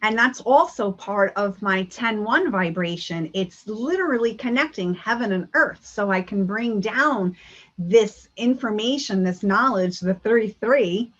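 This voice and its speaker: A woman's voice: medium pitch, lively intonation, unhurried, a bit bright, muffled recording. A woman giving an earnest spiritual talk over a video call, with many ums and restarts. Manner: earnest, many fillers, speaks of spiritual energy. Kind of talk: online talk and Q&A.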